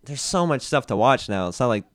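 A man's voice: mid-range voice, very animated, fairly quick, dry recording, clean studio sound. A young man from Canada, a comedian guest on a podcast, rambling casually with many "like" fillers.

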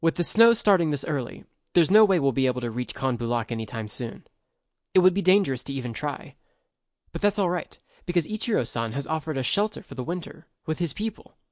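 A man reads out a fiery young prince, voice pitched up and pushed louder than the narration, insistent and defiant. He argues from clear ideals, in a dry, close reading. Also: lively intonation, fairly quick, no echo, lightly acted. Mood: eager.

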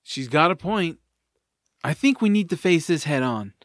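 An actor playing a steady, protective flying sidekick to a hero in a fantasy audio drama. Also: male voice, on the high side, moderate pace, no echo, clean studio sound. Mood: confident.

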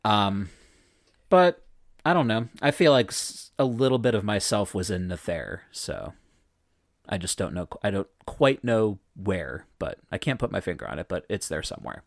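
A man's voice: fairly low pitch, big pitch swings, moderate pace, creaky, no room sound, studio quality. A man chatting casually as a player about a tabletop game, joking about himself and giving firm opinions. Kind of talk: casual podcast chat. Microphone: video call.

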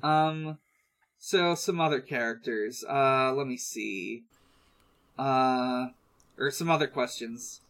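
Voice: mid-range voice, takes it slowly, fairly bright tone. A podcast host chatting casually and reading out listener questions, hesitant and often trailing off mid-thought.

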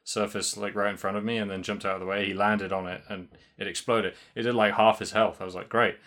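Man, podcast co-host, low voice, bright and forceful tone, casual rambling chat, lots of fillers. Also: level tone, fast. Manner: rambling, many restarts and fillers, laughs often. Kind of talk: casual game discussion.

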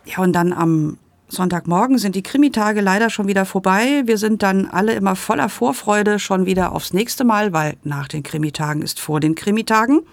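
A woman's voice: medium pitch, normal speed, vocal fry, a little hiss or hum. A woman presenting a podcast report in a narrating style.

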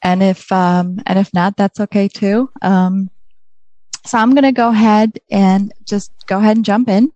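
A middle-aged woman from Poland with a Polish accent, a native Polish speaker, giving an informal educational presentation in a hesitant, halting way. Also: medium pitch, fairly even intonation, warm tone, dry recording, lo-fi sound.

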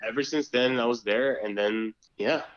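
A man's voice: mid-range voice, moderate pace, no echo, muffled recording. A man speaking as a podcast guest in casual chat, hesitant, with many fillers and restarts. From Canada. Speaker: podcast guest, student council member.

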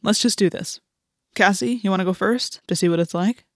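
A woman voicing a calm, decisive, no-nonsense teenage boy leader in an audiobook, speaking plainly and firmly. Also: medium pitch, a little fast, no echo, clean studio sound, natural voice. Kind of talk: audiobook character voice.

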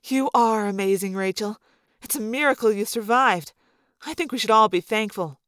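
A woman voicing a brisk, combative lawyer mother in an audiobook story. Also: medium pitch, fairly bright tone, dry recording, studio quality, natural voice. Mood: gushing.

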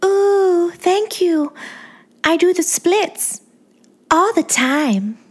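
A woman voicing a self-absorbed female celebrity who coos in a high, childlike sing-song. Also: expressive, extremely slow, exaggerated voice. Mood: cooing.

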